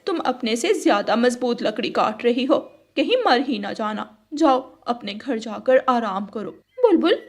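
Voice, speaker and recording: Mocking cartoon crow lady, female actor, haughty, fairly high and rough-edged. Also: lively intonation, unhurried, a little mellow. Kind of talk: children's animated story voice acting.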